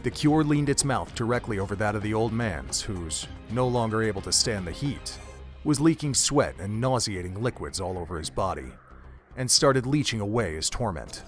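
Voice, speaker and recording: A man's voice: on the low side, expressive, moderate pace. Man narrating dramatically over music. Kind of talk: scripted audio drama narration.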